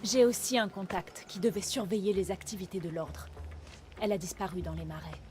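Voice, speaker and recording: A woman's voice: medium pitch, steady tone, fairly quick, a little mellow, soft background music, natural voice. A woman acting a determined young huntress in a dubbed video game, earnest and urgent. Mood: serious, resolute. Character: determined young huntress worried for her stubborn father.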